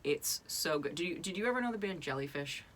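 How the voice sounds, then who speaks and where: A woman's voice: sits low, animated, quick pace, fairly bright tone. A woman in her thirties from New England, hosting a podcast in casual chat, talking with lots of fillers and laughs. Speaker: podcast host.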